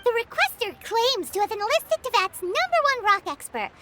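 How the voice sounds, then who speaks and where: A woman voicing a tiny, cheeky, childlike fairy girl, blunt and excitable, in a video game. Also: extremely high pitch, normal speed, very noisy. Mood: indignant, boastful. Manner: refers to self in third person, blunt, excitable. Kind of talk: animated video game character acting.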